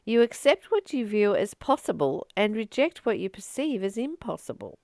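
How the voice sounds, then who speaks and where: A woman reading from a spiritual book and commenting on it informally, in an earnest, emphatic manner with deliberate pauses. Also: medium pitch, big pitch swings, a little mellow, no echo, clean studio sound.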